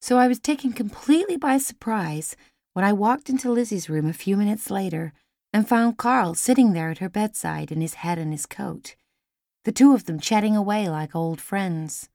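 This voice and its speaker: A woman's voice: mid-range voice, expressive, normal speed, darkish tone, no room sound, clean studio sound. A woman reading a first-person children's story as an audiobook narrator.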